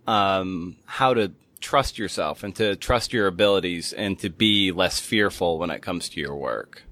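A man's voice: low-pitched, measured pace, fairly bright tone, no echo. A man hosting a podcast, asking questions conversationally and rephrasing them as he goes. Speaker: podcast host, interviewer. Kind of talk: conversational interviewing.

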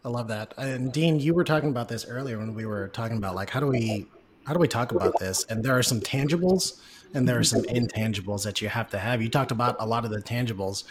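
A man's voice: mid-range voice, fast, background noise. A man hosting a podcast, affirming his guests and asking them questions in an upbeat way. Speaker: podcast host.

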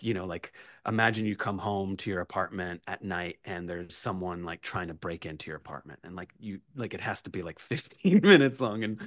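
A man speaking as a podcast guest, telling rambling personal anecdotes in a halting, effusive, self-deprecating way. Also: sits low, fairly bright tone, no room sound, studio quality.

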